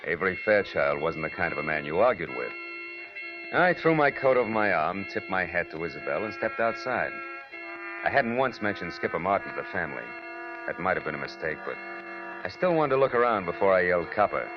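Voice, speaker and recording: A man's voice: low-pitched, big pitch swings, brisk. A man with an American accent playing a cynical, wisecracking private detective who narrates his own case in a 1940s radio drama. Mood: wry, brooding. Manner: hardboiled, wry, slangy. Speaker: narrator and lead actor. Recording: muffled vintage radio broadcast with music.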